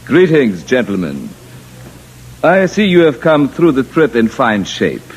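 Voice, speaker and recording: Husky, formal alien official. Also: male voice, mid-range voice, very animated, measured pace, rough recording. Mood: formal, welcoming. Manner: formal, ponderous, faintly condescending. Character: courteous, smug male alien official acting as liaison. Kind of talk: 1950s radio drama acting.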